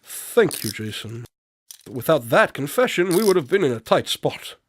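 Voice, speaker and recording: A man playing a shrewd, unflappable detective in a radio drama, measured and knowing as he confronts a suspect. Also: fairly high pitch, big pitch swings, husky. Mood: smug, calm. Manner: measured, knowing, coolly accusatory. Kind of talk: amateur radio drama acting.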